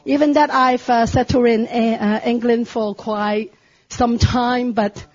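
A woman with a Chinese accent preaches with fervor, often raising her high voice to a shout. She talks slowly, with frequent fillers and searching pauses. The recording is dry and a little dull. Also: fairly even intonation, no room sound. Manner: impassioned, often shouting praise; halting English with many fillers. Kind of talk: sermon.

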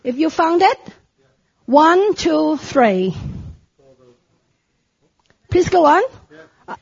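A woman with a Chinese accent preaches with fervor, often raising her high voice to a shout. She talks slowly, with frequent fillers and searching pauses. The recording is dry and a little dull. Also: big pitch swings, creaky, no echo. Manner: impassioned, often shouting praise; halting English with many fillers. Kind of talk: sermon.

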